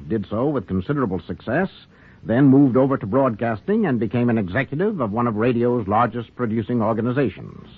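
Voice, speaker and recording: A man's voice: medium pitch, lively intonation, brisk, slightly rough. A man hosting and narrating a 1940s radio theater from a script, urbane and theatrical. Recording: muffled narrow-band 1940s radio, faint music.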